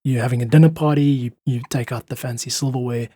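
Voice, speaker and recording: Husky South African man in earnest conversation. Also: mid-range voice, fairly even intonation, fast, mellow, dry recording, studio quality. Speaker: podcast guest, independent musician. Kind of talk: reflective interview conversation.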